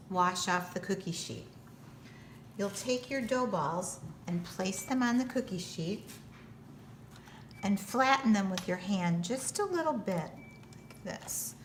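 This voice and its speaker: An American woman giving patient, step-by-step cooking instruction in simple, plain terms. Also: mid-range voice. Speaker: teacher giving a cooking demonstration. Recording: noticeable background noise.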